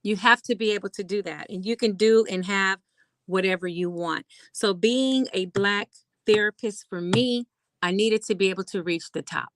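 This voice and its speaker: A woman's voice: medium pitch, normal speed, no echo. A middle-aged woman, a clinical psychologist and host, talking casually and emphatically about mental health, laughing often.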